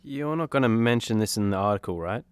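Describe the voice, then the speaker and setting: An actor playing an earnest superhero in a comedy radio play, talking in a conversational way. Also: male voice, lowish voice, lively intonation, quick pace, smooth, no room sound, clean studio sound, natural voice. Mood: dry concern. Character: earnest male superhero, self-promoting team member.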